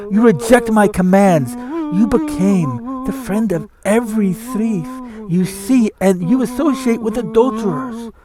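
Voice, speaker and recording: A man giving a solemn, declamatory, theatrical reading of scripture. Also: high voice. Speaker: scripture reader. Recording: music under the voice. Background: music.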